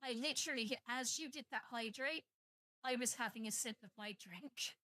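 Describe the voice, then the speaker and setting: A woman's voice: on the high side, moderate pace, bright, forceful tone, no echo, studio quality. A young British woman with a British accent, chatting casually and joking with viewers on a live stream, swearing freely. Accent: British English. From Britain, half Welsh and half English.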